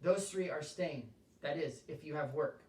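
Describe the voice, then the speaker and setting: A calm, steady male mountain guide who speaks plainly, read by a man. The voice is slightly high and echoes as it carries from a distance. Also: measured pace, slight background noise, lightly acted.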